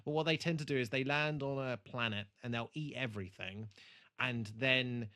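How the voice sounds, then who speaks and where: A man's voice: mid-range voice, moderate pace, pushed and bright. A man co-hosting a podcast in a casual, rambling, enthusiastic and sweary way. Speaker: podcast co-host. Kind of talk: casual chat, reviewing a TV show.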